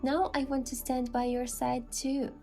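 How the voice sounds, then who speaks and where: A woman's voice: high-pitched, flat delivery, measured pace, dark tone, voice pushed up. Woman acting a playful, affectionate young girlfriend. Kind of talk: romantic game dubbing. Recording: music behind the voice.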